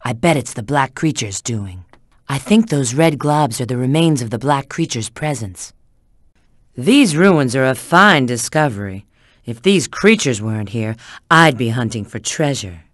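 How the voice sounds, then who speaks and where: A woman's voice: very deep voice, expressive, a little slow, no room sound, studio quality. A woman voicing a sly, flirtatious, confident treasure-hunting agent in a video game, giving hints and callouts with teasing assurance. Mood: curious, alert.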